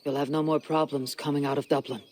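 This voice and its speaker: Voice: mid-range voice, little pitch movement, fast talker, a little mellow, slight background noise, natural voice. A voice actor playing a blunt, confident Viking raider leader in a game cutscene, with dry wit. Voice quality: blunt, confident, dryly witty. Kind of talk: video game cutscene acting.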